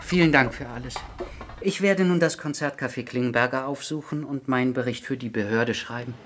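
A man's voice: mid-range voice, fairly quick, heavy background noise, lightly acted. An actor playing a bemused male government inspector in a satirical radio drama, dry and formally polite but easily exasperated.

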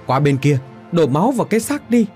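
A man's voice: medium pitch, big pitch swings, normal speed, a little mellow, faint music behind, natural voice. A man voicing a cruel, scheming older woman who barks harsh orders and sneers. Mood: harsh commanding.

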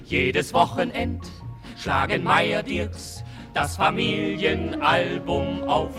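A man's voice: a little high, slow. A man singing a 1950s German radio theme song. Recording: old radio recording with loud music.

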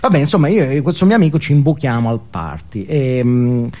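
Male actor as neurotic confessor: self-absorbed, ashamed, stammering, mellow middle-pitched voice, comic theatre monologue. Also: animated, close to an everyday voice. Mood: nervous, earnest. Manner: halting, stammering, repeating words, self-justifying.

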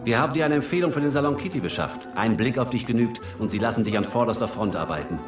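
An actor playing a scheming British wartime spymaster in a radio play, rousing a recruit to a mission. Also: male voice, medium pitch, animated, fast talker, natural voice. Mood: conspiratorial. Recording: distant echoey room with prominent music.

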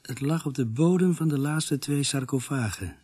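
A sober narrator in a radio drama, played by a male actor, recounting events he took part in. His voice is husky and mid-pitched, with a measured, factual delivery. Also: mellow, faint music behind, close to an everyday voice. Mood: matter-of-fact. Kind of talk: scripted radio play narration.

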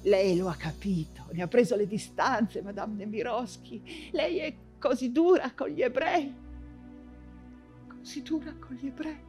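An actress voicing a cold, courteous male German officer with a soft, measured, insinuating manner, in a stage monologue. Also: fairly high pitch, soft background music, voice pushed down.

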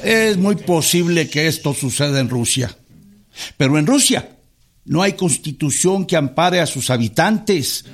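An actor playing an impassioned club president giving a declamatory, emphatic political speech in a radio drama. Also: male voice, a little high, expressive, a little slow. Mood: indignant.

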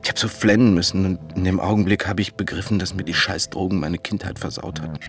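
A low male voice over music, an actor playing an eccentric radio-play character. He is painfully earnest and sentimental, fussy in how he confides, and prone to outrage, repeating his phrases. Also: fairly even intonation, quick pace, lightly acted. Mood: distressed, confessional. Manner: confessional, fussy, repeats himself in agitation. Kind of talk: radio play monologue and dialogue.

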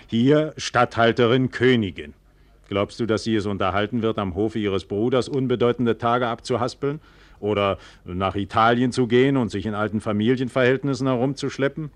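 Guy acting a confident carefree nobleman in an old 1940s radio play, lowish voice, grand stagey delivery. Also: moderate pace, no echo, close to an everyday voice. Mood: light, carefree. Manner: declamatory, self-assured. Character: proud, carefree, confident nobleman of high rank.

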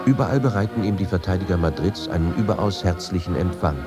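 A man's voice: low voice, quick pace. A man reading a newspaper report aloud for a radio feature. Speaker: reader of press quotations. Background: loud music.

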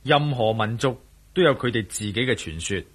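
A man playing an earnest, fair-minded adventurer in a radio drama, probing and gently admonishing. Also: mid-range voice, expressive, normal speed, slightly rough. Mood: firm. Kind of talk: Cantonese radio drama acting.

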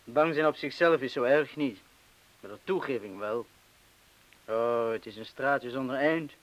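An actor playing a sceptical, self-deprecating former wartime bomb-disposal man in a radio play, with a measured, understated way of talking. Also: medium pitch, natural voice, poor audio. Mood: philosophical. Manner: measured, understated, terse replies.

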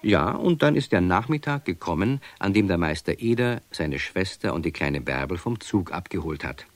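A man playing the narrator of a children's radio play, telling the tale in an expressive scripted storytelling style. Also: deep voice, moderate pace, no room sound. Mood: wry storytelling.

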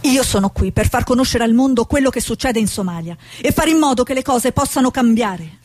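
Idealistic woman journalist for a stage drama, played by an actress: earnest, determined, higher-pitched with a husky edge. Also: animated, a little fast, darkish tone. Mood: earnest, hopeful.

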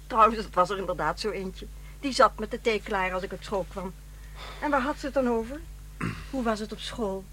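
A woman playing a brisk, unsentimental middle-aged ex-wife in a radio drama, matter-of-fact and dismissive. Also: mid-range voice, fairly bright tone, slight background noise, close to an everyday voice. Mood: irritated, scornful.

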